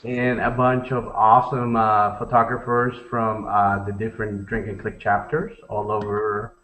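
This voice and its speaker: A man, the show's founder, opening a casual online show with halting, filler-laden speech. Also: fairly low pitch, level tone, fairly bright tone, reverberant, poor audio. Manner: halting, filler-heavy intro.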